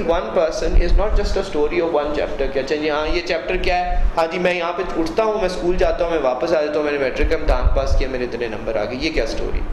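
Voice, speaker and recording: A man from Pakistan, a university director of student affairs, giving earnest, explanatory interview answers and often adding "you see". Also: on the high side. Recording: echoey room with background noise.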